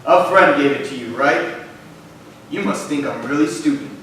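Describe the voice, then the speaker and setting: A man's voice: a little high, normal speed, a bit bright, lightly acted. A man playing a bullying, sneering police sergeant in a staged reading. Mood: menacing. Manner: intimidating, sneering. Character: menacing, bullying male police sergeant interrogating a teenager. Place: arts center stage, live performance. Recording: echoey hall, distant mic, faint noise.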